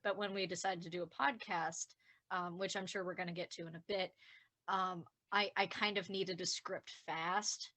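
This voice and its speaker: A woman's voice: lowish voice, steady tone, normal speed, sharp tone. A woman scriptwriter giving casual behind-the-scenes podcast commentary in a talkative, self-deprecating way.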